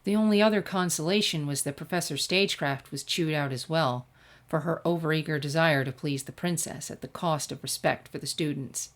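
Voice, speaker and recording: Lower-pitched woman narrating a story. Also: a little fast. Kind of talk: expressive scripted fan-fiction story narration.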